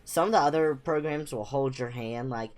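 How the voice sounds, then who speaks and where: A man's voice: medium pitch, moderate pace. A young man chatting casually as a livestream host, rambling informally with a self-deprecating manner. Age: young adult. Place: small home room, indoors.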